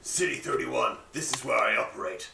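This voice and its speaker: A man with a gravelly, bright voice races through an action scene in an improv game, frantic and jokey. He sounds far off in an echoey room. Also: medium pitch, animated, a little fast, slight background noise.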